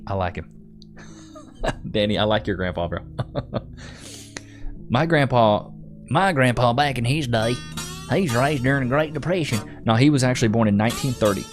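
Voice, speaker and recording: A man's voice: mid-range voice, normal speed, long pauses, music in the background. A young man, hosting a live stream and chatting casually while reading comments, laid-back and rambling. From Texas. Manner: laid-back, rambling, repeats himself, says "bro".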